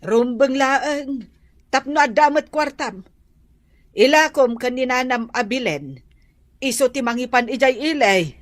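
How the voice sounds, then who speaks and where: A scolding old Filipino grandmother from a radio drama. Her voice sits a bit high and is recorded very dry. She is bossy and stern, nagging as she gives orders. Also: expressive, a little slow, no echo.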